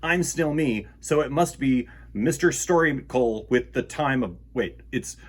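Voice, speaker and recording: A man's voice: medium pitch, a little slow, breathy edge. A man hosting a children's storytime, reading a picture book aloud and chatting playfully and theatrically to young listeners. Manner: animated, theatrical, playful exclamations and gasps; addresses young listeners directly. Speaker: host of a children's storytime show.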